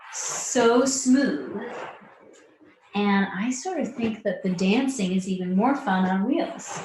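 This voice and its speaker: Woman, leisurely pace, mid pitch, child-friendly explanations, roomy echo. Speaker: guest answering children's questions. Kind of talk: simple explanation for young children. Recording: roomy echo with faint noise.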